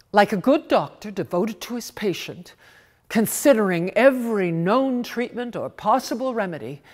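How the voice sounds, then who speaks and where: A woman reads a short story in a theatrical, dramatic way. Her delivery is unhurried and her pitch moves widely. She hesitates on purpose and repeats words for effect. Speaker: reader of short stories. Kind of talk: theatrical, expressive literary story reading.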